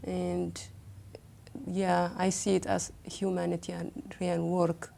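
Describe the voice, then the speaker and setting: Woman from Ethiopia, slow, gravelly, mellow tone, flat pitch, hesitant. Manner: hesitant, thoughtful. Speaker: guest. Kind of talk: interview.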